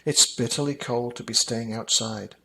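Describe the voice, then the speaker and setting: A man's voice: mid-range voice, fairly even intonation, moderate pace, gravelly, bright, forceful tone, a little hiss or hum, low-quality recording. A man voicing a tiny, timid young mouse who is lonely and polite, in a rhyming children's storybook. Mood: timid, hopeful.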